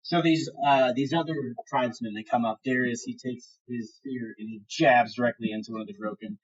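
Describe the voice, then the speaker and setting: A man improvising narration as game master of a tabletop fantasy game, describing the action in halting, vivid detail. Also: medium pitch, breathy edge, highs cut off.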